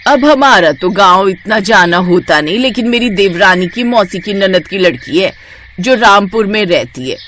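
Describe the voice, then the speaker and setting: A woman's voice: mid-range voice, animated, moderate pace, a bit bright, slight background noise, close to an everyday voice. A woman voicing a gossipy middle-aged female neighbour in a chatty, familiar manner. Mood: chatty, nudging.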